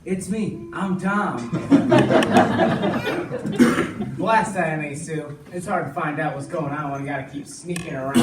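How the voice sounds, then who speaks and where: A man's voice: fairly high pitch, lightly acted. A man acting a sneaky, suspicious cowboy in a western stage melodrama, confiding in the audience. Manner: conspiratorial asides to the audience. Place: indoor small school stage before an audience. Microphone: far camera. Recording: distant echoey stage, loud room noise.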